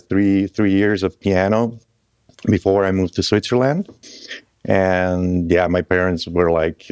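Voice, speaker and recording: Deep-voiced guy from croatia in his 30s, rambly and halting, dry close sound. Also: a little mellow, clean tone, no echo. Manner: halting, loose, with restarts and small laughs. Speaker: guest musician. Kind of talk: casual interview chat.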